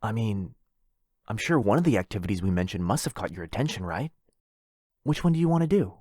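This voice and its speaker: A man's voice: lowish voice, very animated, fairly quick, darkish tone, no echo, clean studio sound. A man playing a lonely, possessive, rich vampire brother in a boyfriend ASMR roleplay, insistent and coaxing. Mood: anxious, pleading.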